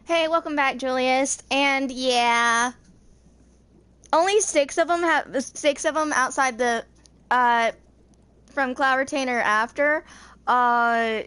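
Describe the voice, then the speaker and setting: A woman's voice: high-pitched, slow pace, long pauses, a bit bright, clear, resonant tone, dry recording. A woman in her twenties giving casual gameplay commentary in a rambling, hesitant, informal way. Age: 20s. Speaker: gaming video creator.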